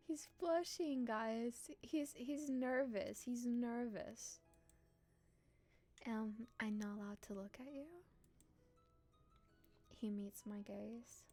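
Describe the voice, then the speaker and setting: A woman's voice: a little high, takes it slowly, long pauses. Playful woman streamer over faint music. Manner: playful, expressive, teasing. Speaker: live streamer reading a visual novel aloud. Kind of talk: live stream read-aloud with casual reactions and chat.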